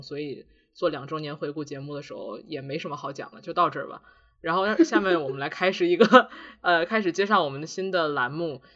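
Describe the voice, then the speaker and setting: A man's voice: a little high, pushed and bright. A man co-hosting a podcast in casual chat, with a self-mocking, giggly manner. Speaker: podcast co-host. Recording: slightly dull, lo-fi podcast recording.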